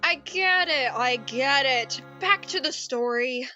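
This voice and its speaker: Woman as a high, bright, melodramatic storyteller. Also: animated, takes it slowly, muffled recording, soft background music, heavily acted. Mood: exasperated. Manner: theatrical, stern, pessimistic. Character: exasperated, gloomy, melodramatic female storybook narrator. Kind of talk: radio play narration.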